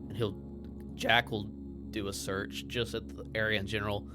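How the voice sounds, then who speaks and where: Male game player, gravelly fry, casual table talk over clear music. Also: fairly low pitch, little pitch movement, moderate pace. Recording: music behind the voice.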